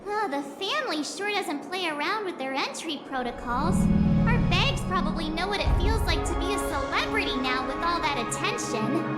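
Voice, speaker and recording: Game heroine: excitable, chirpy teen girl, extremely high, swooping intonation. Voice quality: high, girlish. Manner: chirpy, expressive. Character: bubbly, excitable teenage girl adventurer. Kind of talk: video game voice acting. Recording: roomy game audio under loud music.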